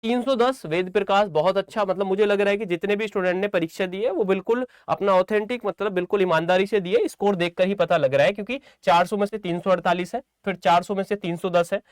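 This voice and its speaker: A man's voice: sits high, fast talker, hardly any pauses, smooth, no room sound, studio quality. A man giving informal instructional talk to students as a coaching teacher, repeating phrases for emphasis and often checking that they follow.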